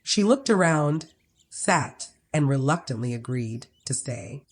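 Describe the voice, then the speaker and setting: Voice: medium pitch, expressive, unhurried. A narrator of ambiguous sex reading a scripted dramatic story. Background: music.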